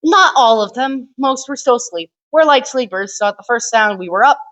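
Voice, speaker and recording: Fearless, curious youngster played by a woman, fairly high voice, offhand yet playful storytelling for drama. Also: animated, normal speed, fairly bright tone. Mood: nonchalant. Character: curious, unafraid child of the Moore family. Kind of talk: short-film drama acting.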